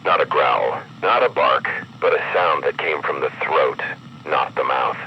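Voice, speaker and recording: A man reading a scripted horror emergency broadcast as an announcer, in a grave, measured, ominous manner. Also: rumbling low. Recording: muffled broadcast with clear background noise.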